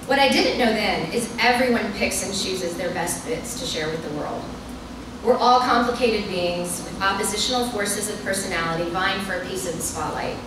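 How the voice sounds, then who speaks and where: A woman's voice: mid-range voice, moderate pace. Woman, author reading, wry humorous storytelling, self-deprecating, live event, distant microphone, noticeable background noise. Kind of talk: humorous personal essay read to a live audience. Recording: echoey, noisy room, recorded from afar.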